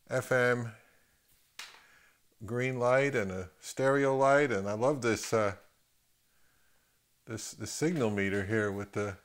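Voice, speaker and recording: A man with a mid-pitched voice hosts a casual gear review. He talks off the cuff, haltingly, often repeating a word as he thinks aloud. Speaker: host of an audio gear video channel. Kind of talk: casual unscripted equipment review commentary.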